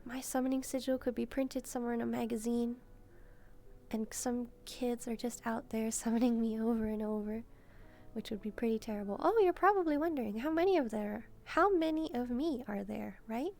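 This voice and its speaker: A woman voicing a gentle, shy demon maid in a soft-spoken roleplay, speaking hesitantly as she muses aloud. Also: on the high side, normal speed, vocal fry. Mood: gentle, chatty. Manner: hesitant, timid, musing aloud. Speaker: roleplay video creator. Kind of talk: soft-spoken first-person roleplay, relaxing.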